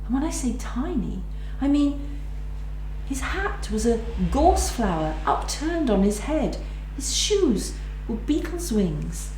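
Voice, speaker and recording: Mellow woman storyteller in an echoey room. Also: high voice, very animated. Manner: measured, dramatic pauses and emphasis. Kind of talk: live oral folk tale storytelling. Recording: roomy echo with background noise.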